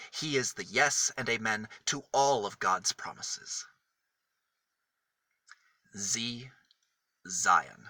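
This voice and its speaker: Bright-voiced man reading a children's story. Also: medium pitch, husky, no echo. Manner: deliberate, emphatic phrasing with single words set apart. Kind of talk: picture-book read-aloud for small children.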